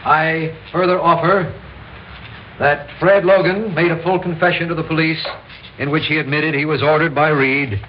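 An actor playing a principled district attorney, speaking formally and earnestly in an old-time radio drama. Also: male voice, a little high, steady tone, normal speed, natural voice. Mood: formal, solemn. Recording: dull, noisy old radio recording.

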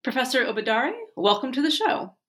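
A woman's voice: sits high, animated, brisk, a bit bright. A woman hosting an interview podcast, asking friendly, carefully phrased questions. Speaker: podcast host.

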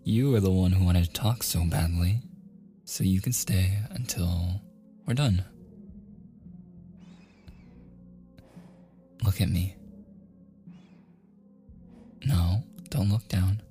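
A man playing a smitten, insistent young man in a boyfriend ASMR roleplay, speaking softly and haltingly, at times in a breathy, coaxing whisper. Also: low voice, faint music behind. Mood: teasing, insistent.

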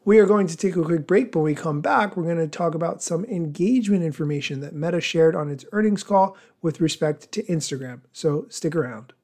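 A man with a voice on the higher side presents news as a podcast host. He follows a script, explaining points in a measured manner and setting off quotations formally, with some commentary of his own. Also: a little mellow.

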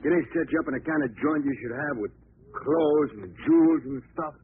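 A man's voice: a little high, fairly quick, lightly acted. A man playing a cocky, pushy gangster with slangy tough-guy talk in a 1940s radio drama. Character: cocky, pushy gangster sweet-talking another man's wife. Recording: muffled, noisy old radio recording.

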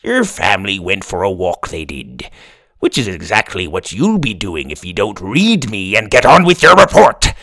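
A gruff, touchy pirate storybook, put on by a man reading aloud. It barks pirate slang and growls threats, louder than the narration, with a lively, swinging pitch. The sound is close and dry. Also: normal speed, dry recording, exaggerated voice. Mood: gruff, bossy.

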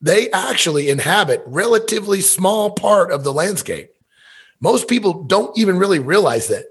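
American guy in his 50s from missouri, kinda raspy, folksy rambling podcast talk. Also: sits high, animated, normal speed. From Missouri, USA. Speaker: podcast guest, elk hunter and business owner. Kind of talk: casual conversational storytelling.

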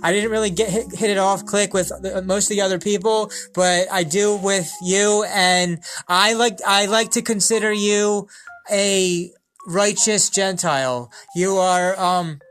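High-voiced American man hosting a video call. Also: steady tone, fairly bright tone, faint music behind, rough recording. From United States. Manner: slow, deliberate, repeats himself. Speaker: host. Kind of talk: casual chat over video call.

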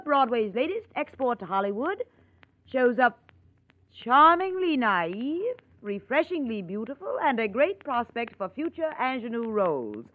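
Haughty scheming columnist, lively old-radio sound. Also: female voice, high-pitched. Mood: grand, performing. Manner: haughty, cutting, theatrical. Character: sharp, scheming, jealous woman newspaper columnist. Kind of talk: 1940s-50s radio drama acting. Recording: old, narrow-band radio recording.

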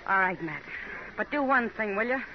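A woman's voice: mid-range voice, lively intonation, moderate pace, lightly acted. An actress playing a concerned, firm frontier saloon woman in a 1950s radio western. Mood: worried, urgent. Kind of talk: 1950s radio drama acting. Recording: very noisy, dull old radio recording.

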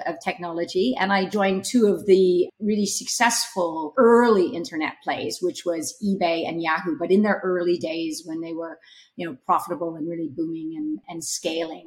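A woman's voice: fairly low pitch, fairly even intonation, poor audio. Canadian woman in her fifties, reflective. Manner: reflective, unhurried, with some filler words. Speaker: guest, startup executive. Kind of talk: conversational interview answers.

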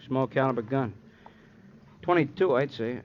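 A man's voice: mid-range voice, normal speed, lightly acted. Man acting a plain-spoken radio cop, muffled sound. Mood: matter-of-fact. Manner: clipped, colloquial, matter-of-fact. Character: plain-spoken, unbookish working police detective. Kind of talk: 1950s radio crime drama acting. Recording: dull, slightly noisy old radio recording.

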